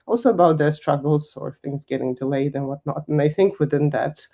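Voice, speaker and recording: Candid young woman, warm mellow voice. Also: low voice, brisk. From Kazakhstan. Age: young adult. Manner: candid, laughs often, emphatic. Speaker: guest finance executive. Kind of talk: conversational interview answers.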